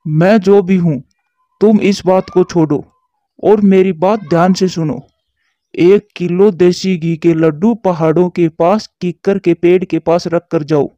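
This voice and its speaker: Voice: medium pitch, warm tone, dry recording, natural voice. A voice actor playing a scheming male crow in a Hindi cartoon, whiny when hurt and menacing on the phone. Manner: whiny, then threatening on the phone. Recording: dull lo-fi voice track.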